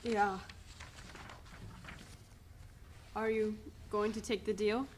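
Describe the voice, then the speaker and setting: A woman's voice: mid-range voice, flat delivery, normal speed, background noise, lightly acted. A woman playing a bitter, devout condemned prisoner, a family man, in a dramatic play reading, earnest and reproachful. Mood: subdued.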